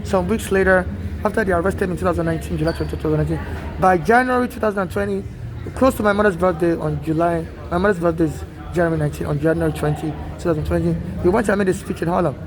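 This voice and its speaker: A man's voice: sits high, rapid-fire, crowd noise, poor audio. A man from Nigeria with a Nigerian-tinged American accent, talking in an urgent, repetitive street monologue with many restarts. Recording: street noise outdoors.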